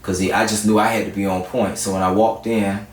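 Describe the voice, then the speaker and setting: A man's voice: fairly low pitch, little pitch movement, a little fast. A man from South Florida chatting casually as an R&B singer being interviewed. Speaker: guest R&B singer-songwriter. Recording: roomy echo, distant mic, faint noise.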